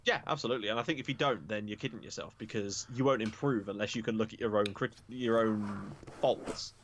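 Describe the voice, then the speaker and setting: A man's voice: on the low side, fairly quick, a bit bright, slight background noise. A young man chatting frankly as a podcast guest, self-deprecating and swearing casually. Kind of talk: casual chat.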